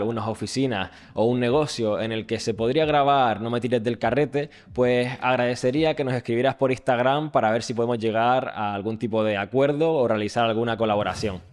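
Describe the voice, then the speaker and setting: A man hosting an interview podcast, posing his questions in a measured, tentative way. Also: mid-range voice. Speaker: podcast host. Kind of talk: interview conversation.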